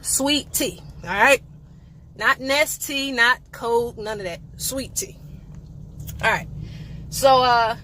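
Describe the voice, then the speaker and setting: A woman's voice: fairly high pitch, slow, long pauses, bright, forceful tone, slight background noise. A young woman, telling a playful, sassy story in a casual vlog. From eastern North Carolina. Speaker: vlogger.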